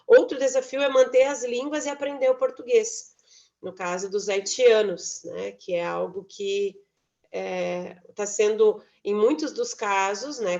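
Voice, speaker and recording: Woman lecturer, mid pitch, measured academic talk, muffled call audio. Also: darkish tone. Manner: measured, frequent "né" tags and self-corrections. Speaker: university lecturer presenting research. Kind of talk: academic presentation. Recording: dull lo-fi online talk audio.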